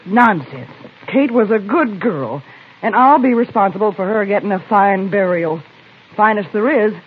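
An actress playing a blunt, feisty Old West rooming-house landlady with a husky voice and folksy, emphatic speech in a radio western. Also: mid-range voice, animated, moderate pace. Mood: indignant. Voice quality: husky, rough voice. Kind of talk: 1950s radio western acting. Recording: tinny, narrow old-time radio recording.

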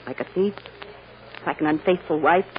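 A woman's voice: sits low, normal speed, lightly acted. An actress playing a despairing accused mother, emotional and resigned, in 1940s radio drama style. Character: distraught, despairing young mother on trial for murder. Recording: muffled, hissy old radio recording.

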